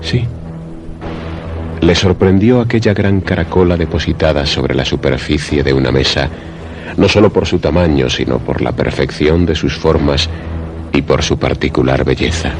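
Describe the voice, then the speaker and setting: A man narrating a radio suspense play in a solemn, deliberate, literary manner. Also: extremely low pitch, music in the background.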